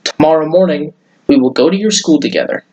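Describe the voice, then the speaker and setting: A man's voice: a little high, quick pace, a little mellow, dull audio, close to an everyday voice. Man reading as a concerned, supportive mother. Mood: gentle firm.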